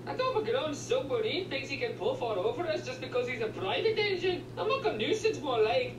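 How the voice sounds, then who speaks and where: A woman's voice: fairly high pitch, dull audio, background noise. A woman voicing a feisty, indignant young engine in a cartoon comic dub. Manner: indignant, grumbling. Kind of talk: comic dub character acting. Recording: echoey, distant playback with music.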